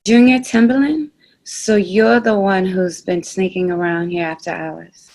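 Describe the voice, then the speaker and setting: A woman's voice: mid-range voice, fairly even intonation, unhurried, a little mellow, lightly acted, poor audio. A woman reading the part of a stern, suspicious schoolteacher at a table read, speaking with authority. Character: Miss Farrow, stern suspicious female schoolteacher. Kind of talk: table read of a pilot script.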